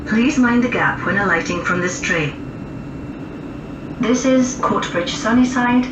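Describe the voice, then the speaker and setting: Slow female train announcer over loud rail noise. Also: medium pitch, distant mic. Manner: measured, formal, segmented phrasing. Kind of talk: recorded railway passenger announcements. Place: aboard a moving passenger train. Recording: muffled, noisy, echoey train PA captured from afar.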